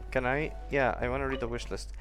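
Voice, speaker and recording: A man giving casual, off-the-cuff commentary while playing a video game. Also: medium pitch. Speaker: gaming streamer. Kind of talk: casual gameplay commentary, reading in-game notes aloud. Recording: game music behind the voice. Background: video game music.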